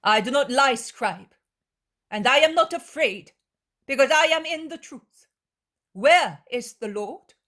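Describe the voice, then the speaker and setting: A woman's voice: high-pitched, expressive, takes it slowly, long pauses, a bit bright, pitched above the performer's own voice, heavily acted. A woman voicing a young prophetess who answers firmly and defiantly. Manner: firm, defiant.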